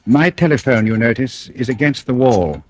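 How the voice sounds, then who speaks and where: An actor in a radio thriller playing a suave, cunning gentleman, coolly menacing, with measured, formal, ironically polite speech. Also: medium pitch, expressive, close to an everyday voice. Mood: controlled, businesslike. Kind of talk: 1940s radio thriller acting. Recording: noisy old radio drama recording.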